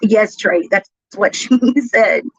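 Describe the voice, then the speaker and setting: A woman's voice: mid-range voice, slow, vocal fry, slightly rough, no echo. A woman chatting casually on a live stream in a giggly, crude, incredulous manner. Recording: dull, lo-fi replayed stream audio.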